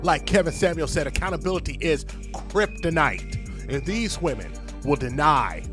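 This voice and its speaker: A man's voice: fairly high pitch, lively intonation, normal speed, over music. A man co-hosting a podcast with a preachy, rhythmic, sermon-like delivery.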